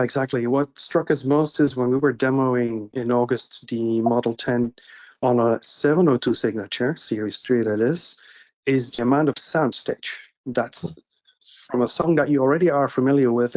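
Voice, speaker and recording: A man's voice: mid-range voice, steady tone, moderate pace, darkish tone. A man on a video call, casually moderating a question-and-answer session as co-presenter. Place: indoor room on a video call. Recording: muffled lo-fi video call audio.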